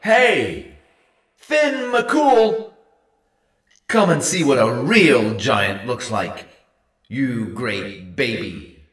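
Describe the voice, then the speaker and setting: Brief: man doing a furious bellowing giant, slow and swooping, echoey and distant. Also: medium pitch, sing-song, a bit bright, full character voice. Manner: roaring, bellowing. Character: huge angry Scottish male giant, furious.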